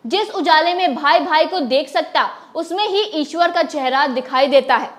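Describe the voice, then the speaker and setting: High-pitched woman reading news commentary. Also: normal speed. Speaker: news presenter. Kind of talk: scripted news commentary read aloud.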